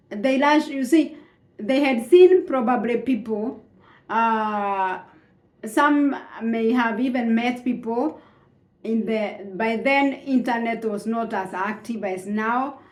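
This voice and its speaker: A woman's voice: a little high, takes it slowly, echoey room. A Kenyan woman whose first language is Swahili, telling her own story in a hesitant way with many fillers and restarts. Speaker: immigrant sharing her story. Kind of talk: slow reflective personal account.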